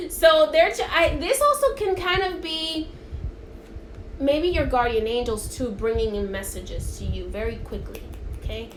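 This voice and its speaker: A woman with a high, bright-sounding voice whose first language is Spanish leads a live spiritual card reading, speaking to viewers in a chatty, encouraging way. She keeps checking in with them as she goes. The phone recording sounds roomy and echoey, with a faint hiss underneath. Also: unhurried, long pauses.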